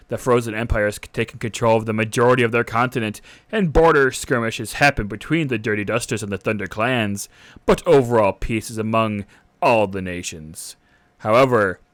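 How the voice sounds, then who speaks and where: Young male DM, unhurried and casual, reads lore in a close, dry booth sound. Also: lowish voice, fairly bright tone, no echo. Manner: unhurried, casual with frequent "uh" and "all right". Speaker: dungeon master. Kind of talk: game master reading a setting synopsis to a live stream.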